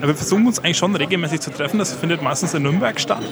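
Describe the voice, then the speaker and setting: A man's voice: on the high side, racing. Man with a Franconian accent, crowd behind. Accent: Franconian German. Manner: hesitant, many filler sounds. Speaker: guest. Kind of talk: casual interview answers. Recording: loud crowd chatter behind.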